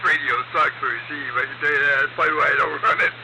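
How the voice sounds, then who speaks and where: A man's voice: on the high side, steady tone, quick pace, very noisy. A man bantering casually and telling stories as a radio operator on a live stream, folksy and teasing.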